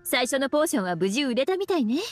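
Sultry witch librarian, languid big-sister teasing, fairly high voice. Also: very animated, fast, over music. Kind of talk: Japanese game dub.

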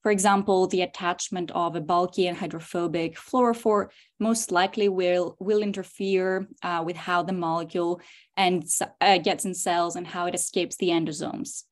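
A woman in her twenties from Greece, presenting her graduate research in a steady, explanatory way on a video call. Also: on the low side, normal speed. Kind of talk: scientific webinar talk. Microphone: computer mic on a video call.

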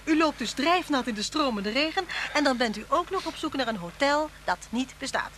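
An actress playing a warm-hearted, headstrong woman schoolteacher in a Dutch radio play, with an insistent, teasing, expressive delivery. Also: high voice, fairly quick. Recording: noisy old radio drama recording.

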